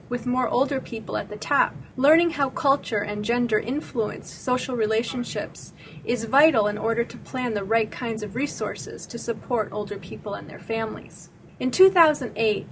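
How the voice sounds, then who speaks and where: A woman from Michigan, a sociologist and researcher, reading a prepared first-person account in a measured, even way. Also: a little high, a little hiss or hum, rough recording. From Michigan, United States. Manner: measured, evenly paced reading of a prepared statement. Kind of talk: scripted first-person narration.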